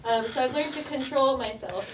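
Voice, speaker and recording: Giggly young woman, far from a noisy mic. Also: normal speed. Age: young adult. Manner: giggly, laughs through her pitch. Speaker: podcast guest. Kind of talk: casual improvised game chat. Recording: distant mic with loud background noise.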